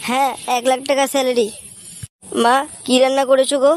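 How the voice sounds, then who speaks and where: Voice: high-pitched, level tone, a little fast, close to an everyday voice. A performer voicing a cocky, foul-mouthed young son in a comic cartoon dub, with a brash, crude manner. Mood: cocky, defiant.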